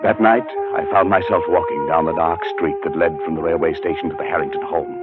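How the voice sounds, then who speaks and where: Deep-voiced haunted man played by an actor, old radio. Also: steady tone, lightly acted. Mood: mounting terror. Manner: dramatic, deliberate, halting when anxious. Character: haunted, frightened male scholar recounting his terror. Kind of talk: 1940s radio acting, first-person suspense narration. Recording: muffled 1940s radio broadcast with music.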